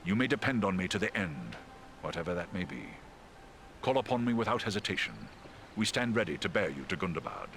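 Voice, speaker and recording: A man's voice: low voice, big pitch swings, a little fast, a bit bright, slight background noise, exaggerated voice. A man playing a noble, brave great eagle in a fantasy game, grave, formal and earnest in manner. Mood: noble resolve. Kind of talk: dramatic fantasy game acting.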